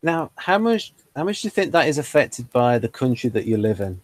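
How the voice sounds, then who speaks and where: A man's voice: mid-range voice, big pitch swings, a little fast, darkish tone, no echo. A man hosting a casual interview, explaining ideas, reading items aloud and asking follow-up questions. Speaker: host.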